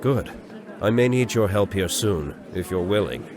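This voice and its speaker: A man's voice: fairly low pitch, lively intonation, moderate pace, a crowd in the background, lightly acted. A man playing a stern, commanding army commander in a fantasy audio drama, giving curt, authoritative orders. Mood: brisk command. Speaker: voice actor.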